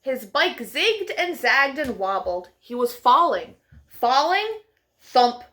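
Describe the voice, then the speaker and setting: A woman's voice: high-pitched, big pitch swings, takes it slowly, pushed and bright. A woman hosting a children's storytime, reading picture books aloud expressively, with playful sound effects. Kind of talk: animated picture-book read-aloud for young children.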